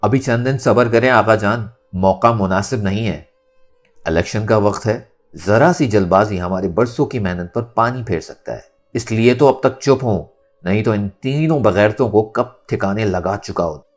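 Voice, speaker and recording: A man's voice: on the low side, moderate pace, faint music behind, lightly acted. Man voicing a stern, scheming uncle. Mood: cold anger. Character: calculating, angry middle-aged uncle with political ambitions.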